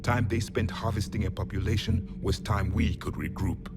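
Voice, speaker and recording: A deep-voiced, ancient alien warrior, played by a man, the last of his kind. He speaks with cold pride and grave, measured disdain, as in a video game, over prominent music. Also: steady tone, natural voice. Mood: grim, severe. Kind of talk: video game character dialogue.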